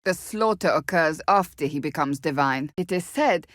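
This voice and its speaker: A woman's voice: deep voice, lively intonation, moderate pace, fairly bright tone, no echo, studio quality. An actress voicing a stern, proud, devout warrior woman in a fantasy video game, with formal, blunt, earnest diction. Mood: grave, measured. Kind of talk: video game character dialogue.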